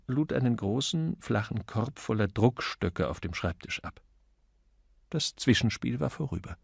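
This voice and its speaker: A man narrating an audiobook as expressive, scripted literary storytelling. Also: mid-range voice, creaky, slightly rough, no echo, clean studio sound.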